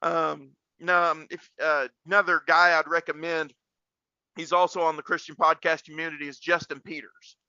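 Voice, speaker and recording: A man's voice: a little high, flat delivery, normal speed, pushed and bright, smooth, dry recording, muffled recording. A man hosting a casual faith podcast like a preacher, rambling and repeating words for emphasis.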